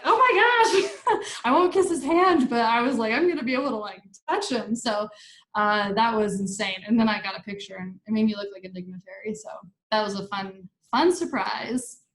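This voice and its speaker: A woman's voice: a little high, fairly bright tone, echoey room. A young woman from Argentina talks casually in an interview, with lots of likes, restarts and laughs. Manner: chatty, many likes and restarts, laughs often. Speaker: staff writer and interview guest.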